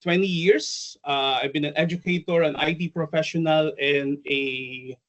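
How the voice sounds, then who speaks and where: A man's voice: on the high side, steady tone, normal speed, fairly bright tone, muffled recording. A man with a Filipino accent, probably in his forties, giving an online workshop in a chatty, hesitant way, full of ums and uhs. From Philippines. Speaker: trainer and presenter. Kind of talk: online conference workshop presentation.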